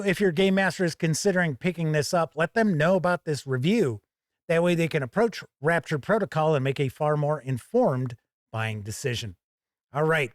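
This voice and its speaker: A man hosting a gaming channel, giving a conversational review to camera in a folksy, measured way with emphatic phrasing. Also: a little high, dry recording, clean studio sound.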